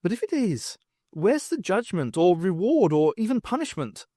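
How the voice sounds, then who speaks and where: A man's voice: sits high, very animated, normal speed, dark tone, no room sound, studio quality, voice pushed up. A man reading the part of a troubled, intense woman adventurer in an audiobook, earnest and dramatic.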